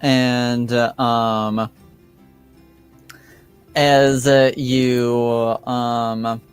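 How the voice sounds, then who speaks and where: A man improvising narration as game master of a tabletop roleplaying game, halting and searching for words. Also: mid-range voice, flat delivery, clean tone, faint music behind.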